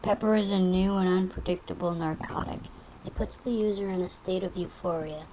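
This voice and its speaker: An actress playing a cool, worldly femme fatale in a noir detective audio drama, speaking in a dry, measured, theatrical way. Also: female voice, fairly low pitch, fairly even intonation, background noise, close to an everyday voice. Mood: grave, knowing.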